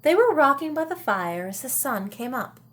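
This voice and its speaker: A woman reading a children's story aloud in a dramatic, expressive style with deliberate pauses. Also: high-pitched. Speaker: storybook reader. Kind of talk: animated children's read-aloud.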